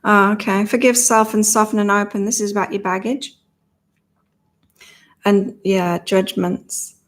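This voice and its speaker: A woman hosts a relaxed online reading session with a slight morning croak in her voice. She chats, laughs often and reads viewers' comments aloud before turning to the cards. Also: medium pitch. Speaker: psychic reader and channel host. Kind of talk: casual livestream chat with card readings.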